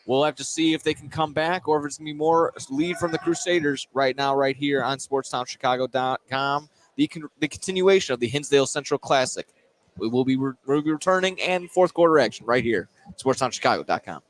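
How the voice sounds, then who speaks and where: A man's voice: mid-range voice, fairly quick. A man calling basketball play-by-play live, with a playful manner and frequent self-corrections. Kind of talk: live sports commentary. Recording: loud gym noise behind commentary. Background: gym noise, bouncing basketball.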